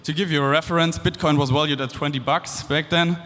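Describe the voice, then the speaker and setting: A man's voice: on the high side, steady tone, brisk, fairly bright tone. A young man in his twenties from Germany, with a German accent, giving a casual, upbeat stage talk to students with loose fillers and restarts. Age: 20s. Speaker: guest speaker. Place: large hall. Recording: long hall reverb.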